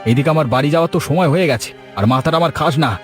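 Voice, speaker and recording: A man's voice: on the high side, very fast, faint music behind, lightly acted. A man voicing a proud, stubborn village biryani cook in a cartoon, with boastful, scornful, emphatic delivery. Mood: scornful, irritated. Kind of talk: cartoon voice acting in a village comedy.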